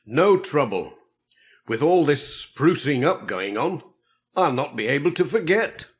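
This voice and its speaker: A man's voice: on the high side, very animated, measured pace. A man voicing a cheerful, easygoing village postman with a dry wit and chatty, folksy talk in a children's audiobook.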